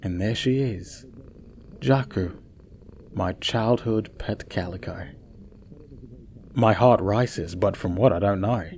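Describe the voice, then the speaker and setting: A man playing a chatty, bewildered narrator of an eerie first-person radio play, theatrical, with asides and dramatic pauses. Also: medium pitch, darkish tone, natural voice. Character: chatty, bewildered man telling his own eerie tale.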